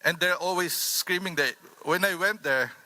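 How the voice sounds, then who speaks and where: A man with a Filipino accent speaking informally as a panelist, in a halting, anecdotal way. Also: sits high, bright, forceful tone, dry recording, rough recording. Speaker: author on a discussion panel.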